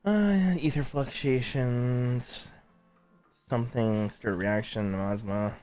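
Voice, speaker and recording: A man's voice: mid-range voice, slow, warm tone, faint music behind. A man casually reacting to a game story as a streamer, in short muttered fragments.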